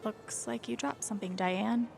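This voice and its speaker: A laid-back young woman in a story game, some vocal fry, soft music behind. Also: fairly high pitch, steady tone, normal speed. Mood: casual, curious. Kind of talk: naturalistic game acting.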